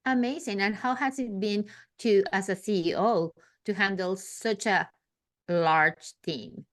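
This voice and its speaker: A woman's voice: medium pitch, unhurried, a bit bright. A woman hosting a podcast interview, enthusiastic and full of praise. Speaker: podcast host.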